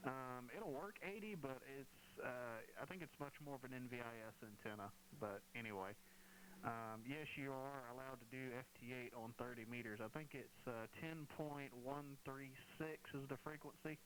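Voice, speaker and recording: A man's voice: mid-range voice, normal speed, fairly bright tone, rough recording. Man on a hissy ham radio. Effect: heard over shortwave ham radio. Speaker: amateur radio operator. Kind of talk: casual radio chat.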